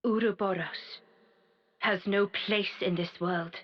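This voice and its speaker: A woman's voice: mid-range voice, fairly even intonation, slow, lo-fi sound. A woman voicing a cold ruler in a video game who gives formal commands. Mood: commanding.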